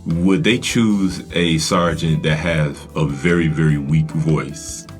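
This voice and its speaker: A man's voice: rumbling low, fairly even intonation, slow pace, soft background music. A man hosting a casual interview, asking questions and reacting with enthusiastic affirmations. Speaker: host.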